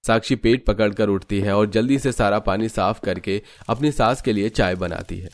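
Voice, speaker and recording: A man narrating an animated moral story in a scripted storytelling style. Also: fairly low pitch, moderate pace.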